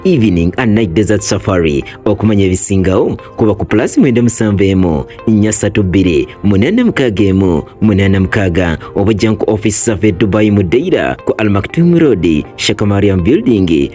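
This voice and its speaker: A man reading a travel-agency advert, listing details briskly. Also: fairly low pitch, warm tone. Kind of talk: read radio-style advert.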